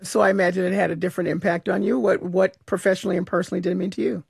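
Gravelly, husky-voiced woman radio host. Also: lowish voice, fairly even intonation, racing, dry recording, clean studio sound. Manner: conversational, warmly probing questions with asides. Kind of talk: public radio interview.

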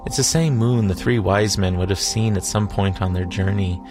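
A man's voice: low voice, moderate pace. A man narrating a travel documentary in a dry, wry, reflective way. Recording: voice-over with music behind.

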